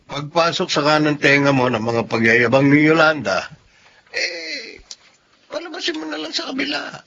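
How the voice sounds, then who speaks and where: An actor with a Filipino accent playing a steady, patient married working man in a radio drama. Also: a little high, normal speed, gravelly, a crowd in the background. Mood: patient, advising. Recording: muffled audio with background noise.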